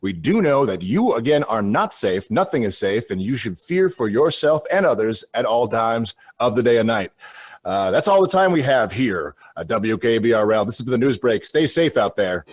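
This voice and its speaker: A man's voice: mid-range voice, sing-song, slightly rough, dry recording. A flustered, alarmist news anchor in a comic radio bulletin. He speaks in a medium register with grave drama, tripping over his words and repeating them. The sound is close and dry. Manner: dramatic, halting, repeats and stumbles over himself. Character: frantic, solemn male radio news anchor, absurdly alarmist.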